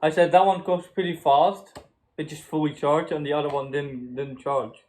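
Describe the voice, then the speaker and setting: Young man, halting casual chat. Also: on the high side, steady tone, a little mellow. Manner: halting, searching for words. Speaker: co-host in a story chat.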